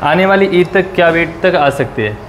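A man's voice: fairly high pitch, expressive, moderate pace, a bit bright, slight background noise. A man hosting an on-site interview in a goat pen, repeating prices and details back for viewers. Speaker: host of a goat-sale channel.

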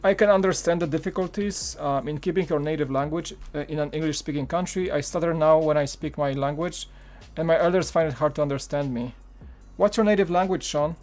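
A man's voice: on the high side, brisk, a little mellow, faint music behind. A man in his thirties from Poland with a Polish accent, chatting casually on a live stream while building, with lots of fillers and restarts. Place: home studio room.

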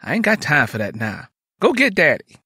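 Man playing bossy high-voiced girl. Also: huge pitch swings, normal speed, pushed and bright, breathy edge, dry recording, studio quality, exaggerated voice. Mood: bossy, insistent. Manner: insistent, scolding. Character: bossy, anxious Southern girl, older sister Mary. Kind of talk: dramatised audiobook dialogue.

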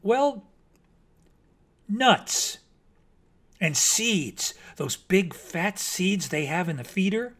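An eager, curious little chipmunk, as a man reading aloud puts him on, speaking in a raised, bouncy voice that leaps around. The storybook delivery is slow and deliberate, and the voice sits well above the reader's own. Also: heavily acted. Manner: excited, high, bright storybook voice.

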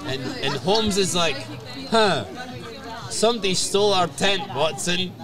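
A man telling a joke and bantering loudly, swearing freely, at an outdoor bar table. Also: high-pitched, lively intonation, measured pace. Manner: rowdy, swearing, storytelling. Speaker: podcast co-host. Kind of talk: loud casual podcast banter. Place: outdoor bar terrace at night. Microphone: table mic on stand. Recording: loud bar music and crowd. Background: loud music and crowd.

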